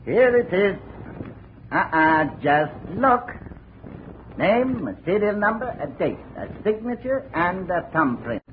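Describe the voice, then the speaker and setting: Sly radio-play private eye, tinny sound. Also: male voice, high-pitched, big pitch swings, slow pace, heavily acted. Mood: cool bargaining. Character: shady male private investigator, coolly baiting a blackmail deal. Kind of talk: 1950s radio detective drama acting. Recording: narrow, tinny, hissy old radio recording.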